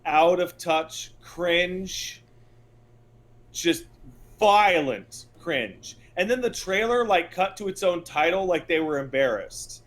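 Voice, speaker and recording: A man's voice: high voice, expressive, slow, a bit bright. A man co-hosting a casual podcast, ranting with emphatic, hyperbolic exasperation. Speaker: podcast co-host.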